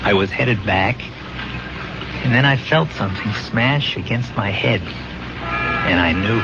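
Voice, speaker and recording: An actor in an old-time radio drama playing a dead young soldier come back to his war buddy, telling his story haltingly and emphatically, weary and haunted. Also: lowish voice. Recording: muffled, very noisy old radio recording.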